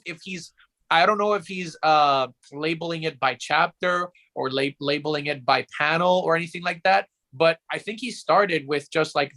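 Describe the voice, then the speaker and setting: Podcast guy, bright voice a bit high, slangy, stumbles over his words. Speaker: podcast co-host. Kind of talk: casual chat.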